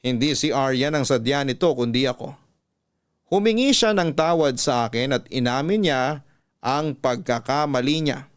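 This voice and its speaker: A man's voice: mid-range voice, moderate pace. A man with a Filipino accent narrating a scripted, dramatic letter as a radio storytelling host. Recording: music behind the voice. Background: background music.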